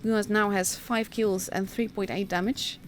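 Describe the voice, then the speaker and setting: Female esports streamer, Dutch accent, medium pitch, informal play-by-play with a chatty manner, music underneath. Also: steady tone, normal speed. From Netherlands. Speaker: esports commentator and stream host. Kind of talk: casual live game commentary.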